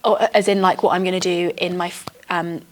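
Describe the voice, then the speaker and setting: A young British woman talks fast in a slightly low voice, sounding like a teacher in casual conversation. There is faint noise behind her. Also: little pitch movement. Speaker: early-career PE and geography teacher.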